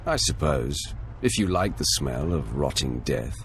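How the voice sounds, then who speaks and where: A very deep, gravelly Sith warrior. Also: male voice, expressive, a little slow, full character voice. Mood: sardonic. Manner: dry, wry, confident. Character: sardonic, hardened Sith warrior hero. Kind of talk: video game protagonist acting. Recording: echoey, reverberant, faintly noisy.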